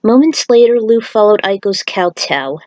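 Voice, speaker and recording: A woman's voice: mid-range voice, lively intonation, moderate pace, a little mellow, dry recording. Woman narrating in a dry, close recording. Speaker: narrator and author of a fan fiction series. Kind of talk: scripted narration with casual outro remarks.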